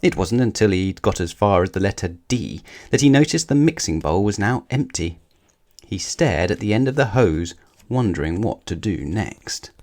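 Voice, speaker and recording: A man's voice: lowish voice, animated, normal speed, darkish tone. A man reading a scripted children's story aloud as the narrator.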